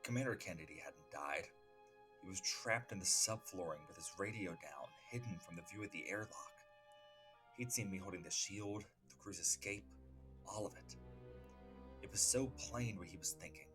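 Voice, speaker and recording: A man voicing a solemn, grieving superhero in a comic dub, speaking in a measured, formal, grave way. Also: deep voice, music in the background, natural voice, low-quality recording. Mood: grave, haunted. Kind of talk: dramatic comic-book dub monologue.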